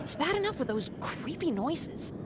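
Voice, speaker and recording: A woman's voice: high-pitched, very animated, moderate pace, background noise. A woman voicing a streetwise, wry and wary young scavenger in a game. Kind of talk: game voice acting, companion dialogue.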